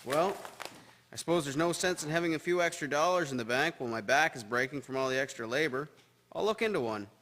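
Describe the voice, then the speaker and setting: A man's voice: fairly high pitch, animated, quick pace, a bit bright. Echoey, grumbling farmer played by a man. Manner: grudging, plain-spoken. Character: grumbling, weary, thrifty farmer. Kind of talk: period radio drama acting. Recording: very echoey, noisy, rustling background.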